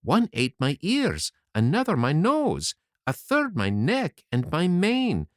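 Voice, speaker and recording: A man voicing a mischievous wooden boy marionette, telling his tale in a lively, sing-song way. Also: a little high, slow, no room sound, clean studio sound, full character voice. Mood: cheeky. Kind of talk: audiobook character reading.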